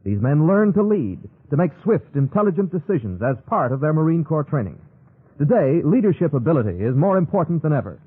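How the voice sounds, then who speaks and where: A male announcer delivers scripted copy in a vintage radio style. His voice is slightly high, with lively swings in pitch and a slightly husky edge. The broadcast band is narrow. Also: fairly quick.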